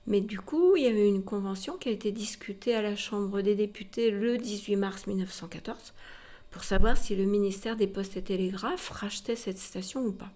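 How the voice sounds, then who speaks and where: A woman's voice: medium pitch, moderate pace, warm tone. A woman working as a museum guide, telling history informally and explaining directly to her listeners. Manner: explanatory, addresses listeners directly with rhetorical tags. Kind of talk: informal spoken historical storytelling to a visitor.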